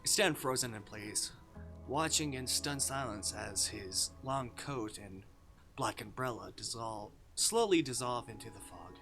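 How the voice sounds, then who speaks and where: A male streamer reading a visual novel aloud with expressive, dramatic delivery and casual commentary. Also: medium pitch, unhurried. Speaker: game streamer. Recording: music behind the voice.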